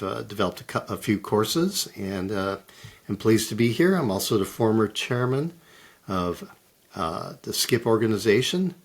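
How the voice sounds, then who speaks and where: A middle-aged man, a guest introducing himself in a friendly, somewhat halting way. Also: lowish voice. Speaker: guest, corporate intelligence lead. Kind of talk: casual conversation.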